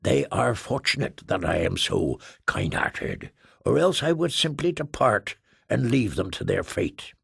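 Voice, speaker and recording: A man voicing a huge, ponderous male space trader who speaks in a slow, formal, pompous way. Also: medium pitch, very animated, creaky, slightly rough, no echo, clean studio sound, exaggerated voice. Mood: sorrowful. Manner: slow, stately, pompous phrasing. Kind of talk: audiobook character voice.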